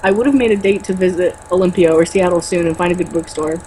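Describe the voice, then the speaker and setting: A woman's voice: on the low side, fast talker, dark tone, a little hiss or hum, low-quality recording. A woman reading a novel aloud and breaking into exasperated, sarcastic, sweary commentary and casual banter.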